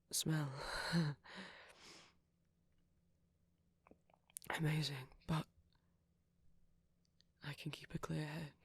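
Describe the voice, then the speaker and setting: A woman voicing a shy, gentle young male vampire boyfriend in an ASMR roleplay, speaking breathily and hesitantly with small stammers. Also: sits low, vocal fry, husky, dry recording, exaggerated voice. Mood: nervous, tender. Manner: hesitant, stammering, softly whispery. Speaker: audio roleplay performer.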